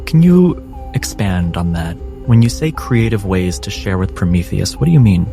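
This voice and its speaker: Male game master, low and mellow, measured as he sets scenes and explains choices, light music behind. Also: expressive, vocal fry. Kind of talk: guiding and narrating a tabletop game.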